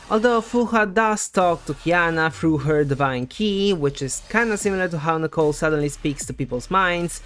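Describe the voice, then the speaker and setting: A man's voice: fairly high pitch, a bit bright. A man presenting scripted game theory commentary in a conversational, explanatory way, as the host of a video essay. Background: background music.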